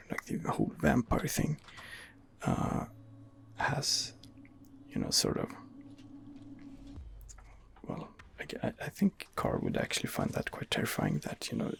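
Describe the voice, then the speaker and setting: A man's voice: medium pitch, gravelly, a little hiss or hum. A man giving whispered ASMR commentary on a game as its host, in a hesitant, rambling manner. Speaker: gaming video host.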